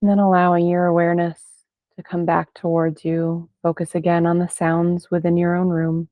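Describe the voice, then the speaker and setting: A woman leading a guided meditation in gentle, unhurried phrases with long pauses between them. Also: fairly low pitch, little pitch movement, dry recording. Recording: band-limited, phone-like sound, dry.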